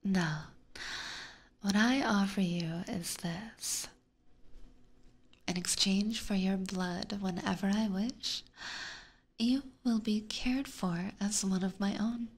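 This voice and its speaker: A woman voicing a possessive, aristocratic vampire catgirl in a slow, seductive, teasing whisper for an ASMR roleplay, close on a binaural microphone. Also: mid-range voice. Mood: coaxing, imperious. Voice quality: breathy whisper. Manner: slow, formal, coaxing.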